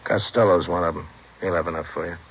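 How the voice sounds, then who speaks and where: An actor playing a bossy, quarrelsome drug gangster, sneering and slangy, in a 1950s radio crime drama. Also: male voice, sits low, fairly even intonation, fast, creaky. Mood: guarded, curt. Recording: muffled, noisy old radio recording.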